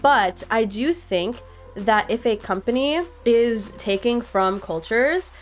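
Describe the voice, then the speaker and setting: A young woman from Nepal, a student, talking earnestly to her viewers in a casual opinion vlog, filling her sentences with "like". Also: takes it slowly. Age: young adult. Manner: earnest, heavy use of "like", animated pitch jumps. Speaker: vlogger. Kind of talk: casual opinion talk to camera. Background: faint background music.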